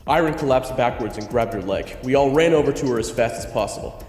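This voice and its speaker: A man's voice: mid-range voice, quick pace, heavy background noise, natural voice. A man playing a loyal, hot-headed swordsman who narrates a fantasy story in the first person, with dramatic emotion. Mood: tense narration. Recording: echoey, reverberant, music underneath.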